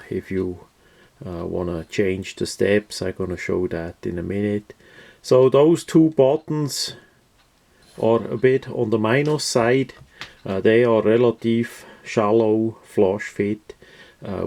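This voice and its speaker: Slow, gravelly-voiced man, non-native English speaker. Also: lowish voice, mellow. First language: not English. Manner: hesitant, halting, matter-of-fact delivery with many pauses. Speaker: product reviewer. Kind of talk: casual unscripted product overview.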